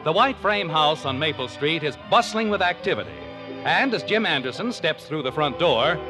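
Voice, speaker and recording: A man's voice: on the high side, animated, normal speed, close to an everyday voice. A man playing a genial, whimsical 1950s radio announcer, with theatrical, neighborly delivery on an old broadcast. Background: music.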